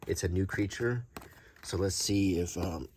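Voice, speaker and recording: A middle-aged man with a low voice talks through items in a casual, halting murmur. His delivery is unscripted show-and-tell in an unintentional ASMR vein. There is noticeable background noise with small clicks. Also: darkish tone. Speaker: host presenting a vintage game card collection.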